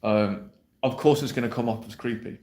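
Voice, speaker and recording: A man's voice: mid-range voice, steady tone, normal speed, a little mellow. A man coaching viewers on dating in a blunt, brash, emphatic way, swearing freely and checking in with "yeah?". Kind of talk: direct-to-camera coaching monologue. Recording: roomy echo.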